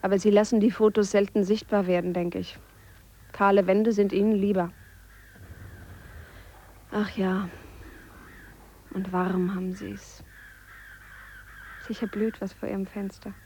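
An actress playing an earnest, reflective Swiss woman biologist who speaks a measured monologue in a radio play. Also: mid-range voice, flat delivery, dark tone, slight background noise, natural voice. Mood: quiet, musing. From Switzerland. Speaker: biologist character. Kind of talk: radio drama monologue, spoken letter.

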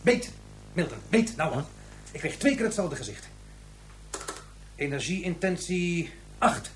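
An actor playing an informal, dryly exasperated laboratory technician in a radio drama. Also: male voice, high voice, sing-song, unhurried, a little hiss or hum. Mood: busy, absorbed. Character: brisk, wry male laboratory technician, colleague of scientist.

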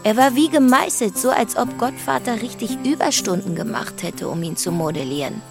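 A woman's voice: fairly high pitch, lively intonation, moderate pace. An actress playing a worldly, weary mother in a German radio play, wry and musing. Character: worldly, weary, dreamy young mother of a teenage boy. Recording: music clearly playing behind.

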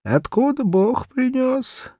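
A man's voice: extremely high pitch, lively intonation, takes it slowly, dark tone, dry recording, studio quality, pitched above the performer's own voice, full character voice. A man playing a swooping, tipsy old cobbler. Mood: tender. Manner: cooing baby talk, exclamatory, swooping. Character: tipsy old village cobbler, gruff but tenderly fond.

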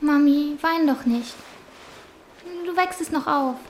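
Dutiful girl of thirteen, high voice, gentle and patient, wartime radio drama, noisy recording. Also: takes it slowly. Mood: soothing. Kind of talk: audio drama acting.

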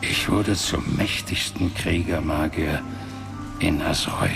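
Deep, gravelly ancient warrior-mage. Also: male voice, heavily acted. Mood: proud, reminiscing. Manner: solemn, measured storytelling. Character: grave ancient warrior-mage father, ghostly face speaking from a magic book. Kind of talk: radio play acting. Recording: music under the voice.

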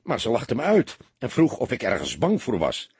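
A man's voice: medium pitch, wildly swooping pitch, moderate pace, a little mellow, husky, no echo, full character voice. A man voicing an anxious, earnest young soldier who speaks hesitantly and repeats words in an audiobook story. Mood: earnest explaining.

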